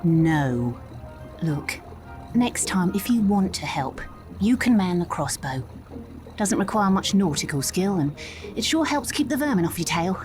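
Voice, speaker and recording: Tough british rat boat captain for a game, sarky n brash, lively pitch, music behind. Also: female voice, measured pace. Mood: cocky, sarcastic. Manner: brash, sarcastic, no-nonsense.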